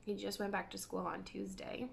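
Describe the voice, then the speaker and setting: A woman in her thirties from Canada hosting a knitting video podcast, chatting casually and meandering, hoarse from a cold. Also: medium pitch, level tone, normal speed, slight background noise. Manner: chatty, meandering, self-correcting. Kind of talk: casual chat to camera. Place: living room by a window, indoors.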